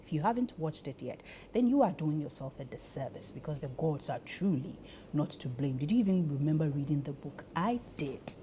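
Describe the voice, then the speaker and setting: A woman's voice: deep voice, animated, a little fast, mellow, slight background noise. A woman with a Ghanaian accent chatting playfully and effusively as host of a live morning television show.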